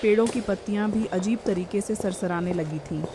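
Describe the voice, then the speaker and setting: A woman's voice: medium pitch, normal speed, background noise. A woman giving scripted narration of a horror story as its narrator.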